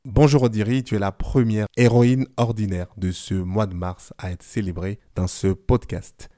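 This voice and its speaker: A man hosting a podcast, asking interview questions calmly and evenly. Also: on the low side, normal speed, dry recording, clean studio sound. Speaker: podcast host.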